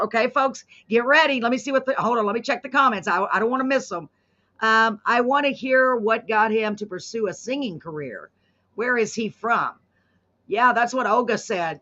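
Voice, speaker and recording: A woman's voice: on the high side, sharp tone, lo-fi sound. A woman with a Texan accent hosting a casual live interview, chatty and enthusiastic. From Texas. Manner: chatty, enthusiastic, reads viewer comments aloud. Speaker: host.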